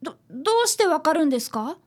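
ラジオドラマで、丁寧な口調の真面目な少年探偵見習いを演じる女性。. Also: female voice, sits high, expressive, fast talker. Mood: startled. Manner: polite, deferential speech. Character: polite, earnest young boy, apprentice detective. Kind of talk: radio drama acting and narration.

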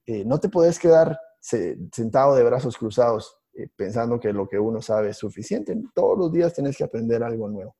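A man co-hosting a podcast, telling stories in a halting way with many restarts and fillers. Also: mid-range voice, lively intonation, mellow, slightly rough. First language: Spanish. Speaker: podcast co-host, IT instructor. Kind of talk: casual podcast conversation.

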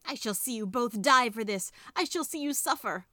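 Woman doing a grand cruel witch, imperious, a bit high, dry recording. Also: lively intonation, moderate pace, bright, forceful tone, no room sound. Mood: menacing.

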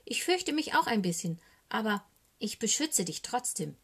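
A woman voicing a small cheeky young male raven in a children's storybook, croaky and excitable, with a wheedling, anxious way of talking. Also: on the high side, moderate pace, pitched above the performer's own voice, exaggerated voice. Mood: timid, relieved. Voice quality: croaky, squawky.

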